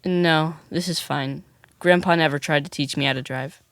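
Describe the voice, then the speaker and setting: A man's voice: a little high, steady tone, normal speed, a bit bright, no echo, studio quality. An actor playing a stubborn, literal-minded boy in an audio comedy drama, snarky and questioning, with an American accent. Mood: wry, resigned.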